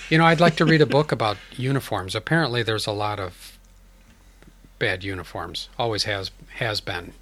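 A man's voice: on the low side, normal speed, a bit bright. A man joking around and chatting casually about films and uniforms. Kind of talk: casual chat.

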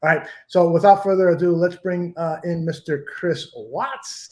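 A man with a high voice hosts a casual podcast chat. He talks loosely, swears freely and laughs easily. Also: moderate pace, a little mellow. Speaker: podcast host.

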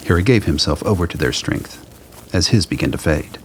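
A man with a deep, low voice narrates a scripted radio play in dramatic prose. Ambient effects and some noise sit behind his voice. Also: animated, a little fast, rough recording.

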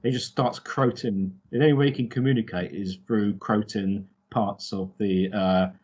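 A man's voice: lowish voice, fairly even intonation, normal speed, a little mellow, husky, muffled recording. A man co-hosting a casual chat, talking with emphatic enthusiasm. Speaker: podcast co-host.

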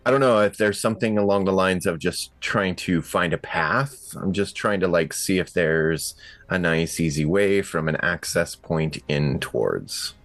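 A man playing a tabletop game, describing actions casually in a deliberate, halting way. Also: low-pitched, a bit bright, dry recording.

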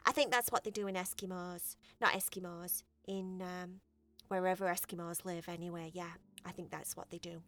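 A woman voicing a scatterbrained, boastful young female cat in a bedtime story, rambling and excitable. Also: lowish voice, moderate pace. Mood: flustered. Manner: rambling, excitable, self-admiring.

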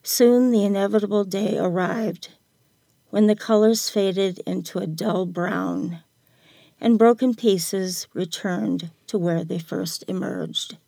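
Older woman, story reading, unhurried pace, gravelly creak, mellow tone, close dry sound. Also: mid-range voice, dry recording. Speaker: guest writer reading own story.

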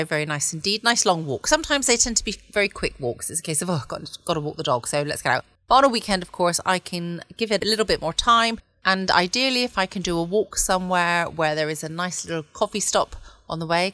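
A woman's voice: fairly low pitch, bright, forceful tone, no room sound. A woman hosting a bedtime podcast from home in an informal, rambling, chatty way. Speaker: podcast host. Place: at home, close dry recording.

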